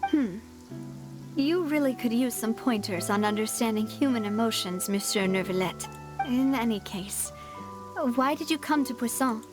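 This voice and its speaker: A woman's voice: a little high, moderate pace, natural voice. A woman playing a poised young female leader in a video game, polite, frank and self-assured. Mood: frank, gentle. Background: game music.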